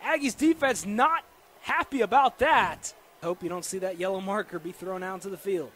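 An American man calling live football play-by-play on the radio with excitable, dramatic delivery. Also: sits high, moderate pace. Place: football stadium during a live game. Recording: noisy live stadium broadcast.

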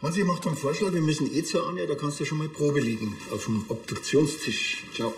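Dry Bavarian cop, echoey sound. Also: male voice, on the high side, quick pace, gravelly, slight background noise. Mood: sarcastic. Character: dry, sarcastic, impatient Bavarian village policeman. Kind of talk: TV crime comedy acting.

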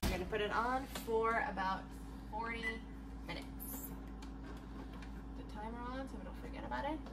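A woman's voice: a little high, normal speed, loud music behind the voice. A young woman casually narrating a cooking vlog in her home kitchen, chatty and upbeat as she works. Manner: chatty, upbeat, talks while working. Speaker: vlogger. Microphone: camera on the counter. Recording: loud background noise.

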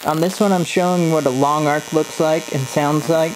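Man, thirties, welding voice-over, calm step-by-step explaining, steady arc hum behind. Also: fairly high pitch, normal speed. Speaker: welding instructor.

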